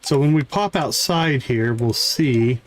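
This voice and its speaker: Man, medium pitch, casual live gameplay commentary, thinking aloud, slight background noise with clicks. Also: slow pace. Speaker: game streamer, host.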